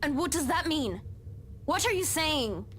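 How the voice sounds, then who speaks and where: A woman's voice: high voice, very animated, a little slow, fairly bright tone, slight background noise, heavily acted. An actress playing the resentful, clinging ghost of a dead teenage girl in a visual novel game, with an accusing, needling manner. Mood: demanding.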